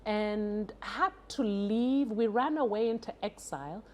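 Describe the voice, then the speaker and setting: A woman's voice: medium pitch, measured pace, a little mellow. A middle-aged woman from East Africa, a guest telling her life story conversationally and explaining as she goes, often checking in with short tags. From East Africa (Uganda and Kenya). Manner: explanatory, adds checking tags like "huh?" and "yeah?". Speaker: talk show guest. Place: TV studio.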